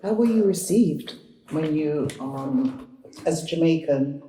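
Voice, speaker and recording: A man's voice: a little high, lively intonation, takes it slowly, dark tone. Attentive male interviewer in echoey room. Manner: attentive, frequent "mm-hmm" backchannels. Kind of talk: interview questions.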